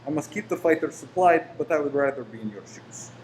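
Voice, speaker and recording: A man's voice: on the high side, lively intonation, fairly quick, darkish tone, natural voice. A man acting in a radio play as a polite, reassuring shop professor who is secretly a bomb-making conspirator. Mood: quietly conspiratorial. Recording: roomy echo with faint noise.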